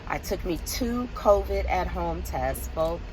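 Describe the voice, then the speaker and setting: Congested, hoarse woman vlogging from a car. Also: fairly low pitch, animated, a little slow, noisy background. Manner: chatty, upbeat, speaks to viewers as "tribe". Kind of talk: casual vlog chat to camera.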